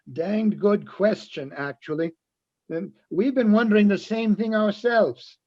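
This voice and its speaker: A man plays a jovial, semi-retired Austrian electrician in an old-time radio play. His voice sits high for a man, with a German accent and a folksy manner. The recording is dull and dry, with little room sound. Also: slow, warm tone, dry recording, full character voice. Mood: rueful. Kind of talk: amateur readers' theatre radio play.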